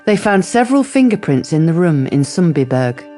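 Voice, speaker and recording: A woman's voice: sits low, sing-song, moderate pace, mellow, faint music behind. A woman narrating a radio drama from a script.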